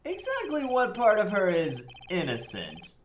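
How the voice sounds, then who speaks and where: A man's voice: sits high, huge pitch swings, measured pace, slight background noise, exaggerated voice. A man voicing a flippant, exasperated defense attorney in a comedic video game fandub, casual and rambling. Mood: sarcastic. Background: music.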